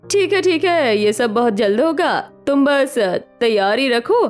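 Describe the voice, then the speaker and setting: A woman's voice: high voice, lively intonation, measured pace, faint music behind. A woman voicing a sly young woman who mimics an older mother-in-law in a cartoon drama. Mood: placating.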